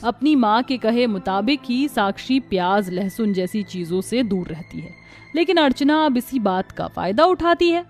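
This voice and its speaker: A woman's voice: fairly high pitch, animated, moderate pace, faint music behind. A woman reading scripted narration for a cartoon moral story.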